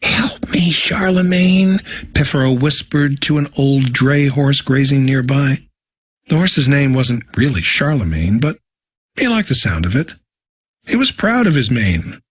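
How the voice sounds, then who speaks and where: A man narrating a children's storybook in an animated, scripted read-aloud style. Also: mid-range voice, a little slow, slightly rough. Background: instrumental music.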